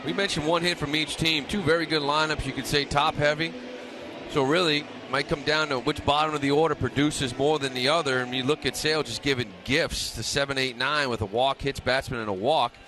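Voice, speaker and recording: A man's voice: a little high, a little fast, slight background noise. A man giving conversational, colloquial color analysis during a live radio baseball broadcast. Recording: steady stadium ambience behind live broadcast. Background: ballpark ambience.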